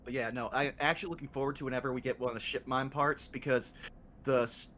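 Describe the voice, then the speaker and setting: A man chatting casually about a game he has already played, explaining its story eagerly with quick asides. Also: medium pitch, steady tone, fairly bright tone, slight background noise. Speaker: co-host who has played the game before.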